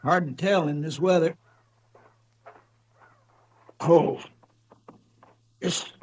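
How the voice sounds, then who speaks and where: Folksy old radio cowboy sidekick, drawly n homespun, voice a bit high, no room sound. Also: male voice, drawn-out words, a little mellow, no echo. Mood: weary. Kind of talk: 1950s radio western acting. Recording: vintage radio drama recording.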